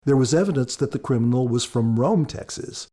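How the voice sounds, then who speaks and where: A man's voice: mid-range voice, animated, fairly quick, warm tone. A man speaking as an author on a podcast, giving scripted commentary.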